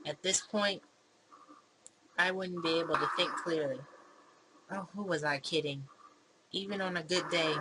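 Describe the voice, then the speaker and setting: A woman hosting a gaming video, narrating a visual novel dramatically and commenting casually. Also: low-pitched. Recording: clearly noisy background.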